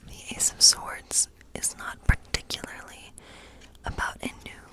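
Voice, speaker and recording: A woman's voice: unhurried, rough recording. Whispering woman, cozy witchy tarot reader. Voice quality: soft, breathy whisper. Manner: informal, encouraging, rambling asides. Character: friendly witch giving a cozy tarot reading. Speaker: ASMR performer playing a witch reading tarot. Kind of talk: whispered ASMR role play, loosely improvised tarot reading.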